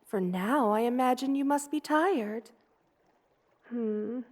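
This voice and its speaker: 여성이 연기하는 높은 목소리로 느릿느릿 말하는 다정한 거미 여왕. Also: female voice, a little high, darkish tone. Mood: playful, doting. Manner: tender, soothing, cooing, unhurried. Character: gentle motherly spider queen, doting monster woman. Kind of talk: ASMR roleplay, personal attention.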